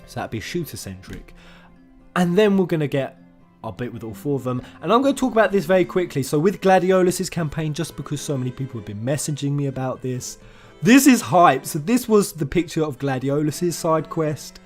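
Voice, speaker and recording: A man with a slightly high voice talks casually as a gaming channel host, with music playing faintly behind him. His manner is excitable and informal, with loose, sweary phrasing. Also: fairly quick. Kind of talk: casual gaming news commentary. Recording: music under the voice. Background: background music.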